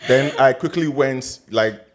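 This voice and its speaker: A man's voice: mid-range voice, a little slow. A man, co-hosting a podcast in playful, rambling casual chat. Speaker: podcast co-host.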